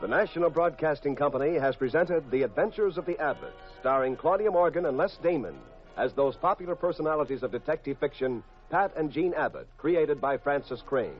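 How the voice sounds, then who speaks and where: Higher-pitched American radio announcer, a man. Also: brisk. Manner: formal, polished. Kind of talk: 1950s network radio announcing, scripted. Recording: dull, lo-fi 1950s radio broadcast. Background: music.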